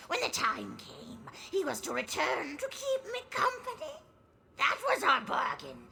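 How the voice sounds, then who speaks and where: Old lonely witch, shrill and sharp, very creaky, slow drawn-out melodrama, video game. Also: slight background noise, full character voice. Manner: melodramatic, swooping, drawn-out phrases. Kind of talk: video game character acting.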